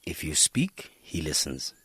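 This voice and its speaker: A man playing a gentle, remorseful pastor in a radio drama, husky and measured. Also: a bass voice, big pitch swings, heavily acted. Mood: solemn, gentle. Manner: measured, solemn, counselling. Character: gentle, remorseful pastor and father counselling a churchgoer.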